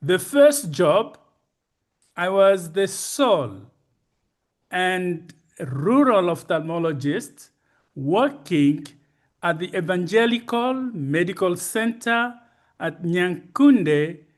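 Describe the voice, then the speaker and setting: Male presenter, early seventies. High-pitched voice, halting and courteous delivery of a personal talk. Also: big pitch swings. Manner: halting, deliberate, formal and courteous. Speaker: conference speaker, retired ophthalmologist.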